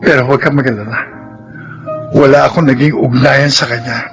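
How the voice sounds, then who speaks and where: A man's voice: mid-range voice, fairly even intonation, a little fast, gravelly, fairly bright tone, faint music behind. A man playing an earnest, contrite and devout husband in a Filipino radio drama, speaking in a soothing dramatic way. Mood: earnest, reassuring.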